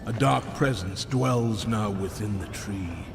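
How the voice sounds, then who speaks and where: An actor playing an ancient, weary male maker who speaks slowly, solemnly and cryptically. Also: lowish voice, vocal fry, noisy background. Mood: grave. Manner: slow, solemn and grave. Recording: game cutscene with reverb and music.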